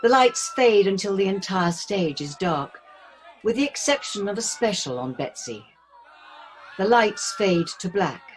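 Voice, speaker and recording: A woman's voice: medium pitch, very animated, a little slow, some chatter behind, a little hiss or hum, low-quality recording. A woman reading stage directions as scripted narration for a play.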